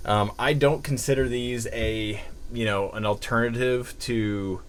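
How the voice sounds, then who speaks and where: A man's voice: mid-range voice, steady tone, a little slow, slight background noise. A man with an American accent giving a casual, unscripted product review in a rambling, conversational way full of asides. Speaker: gear reviewer presenting a product.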